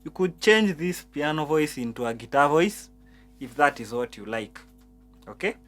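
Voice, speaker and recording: A man's voice: a little high, expressive, fairly bright tone. A man walks through keyboard settings in a teaching tone, often stumbling and repeating his words. A faint keyboard plays underneath his voice. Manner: halting, repeats words, explains step by step. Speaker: piano instructor. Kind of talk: keyboard tutorial. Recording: keyboard music behind voice.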